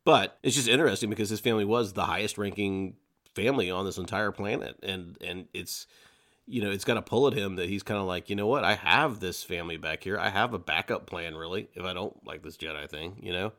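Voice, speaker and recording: Fast-talking man, low-pitched podcast co-host. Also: a bit bright. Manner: emphatic, acts out characters' thoughts. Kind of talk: casual book discussion.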